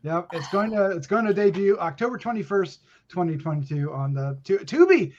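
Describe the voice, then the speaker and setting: Man, high-pitched voice, jokey casual news host, dull audio. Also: animated, fairly quick. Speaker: horror news podcast host. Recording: dull, lo-fi voice track.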